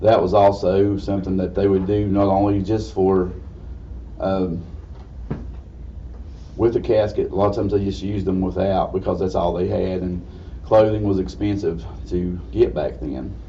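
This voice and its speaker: A man with a slightly raspy voice gives an informal history lecture in a folksy, halting way. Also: low voice, little pitch movement, dark tone. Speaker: guest lecturer. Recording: distant mic, dull muffled sound, faint noise.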